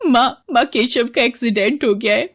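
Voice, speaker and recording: A desperate, self-sacrificing young wife, voiced by a woman. She pleads in a raised, husky, tearful voice in an animated storybook. The sound is close and plain. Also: fairly high pitch, moderate pace, voice pushed up. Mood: pleading.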